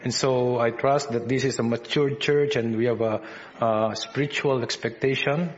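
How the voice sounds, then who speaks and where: A man with a Filipino accent preaching a sermon as a visiting minister, halting often with fillers and restarts. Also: medium pitch, steady tone, highs cut off, a little hiss or hum. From Philippines. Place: church service.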